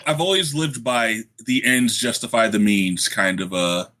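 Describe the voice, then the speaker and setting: Bright-voiced wry male gamer. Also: mid-range voice, a little slow. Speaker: player in a tabletop game. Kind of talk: casual in-character game chat.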